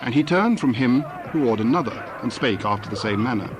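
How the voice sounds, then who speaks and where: A man's voice: medium pitch, lively intonation, brisk. A man reading scripted scripture narration as the narrator of a dramatization. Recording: noisy background ambience.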